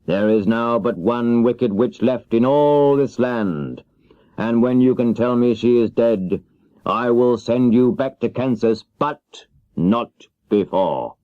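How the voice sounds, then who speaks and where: A man playing a great storybook wizard, stern and commanding. He draws out his words very slowly and deliberately, on a slightly muffled, dry old cassette. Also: medium pitch, dry recording, pitched above the performer's own voice, natural voice. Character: stern, bargaining great wizard, a giant head. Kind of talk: children's audiobook character voice. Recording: dull 1970s cassette audiobook.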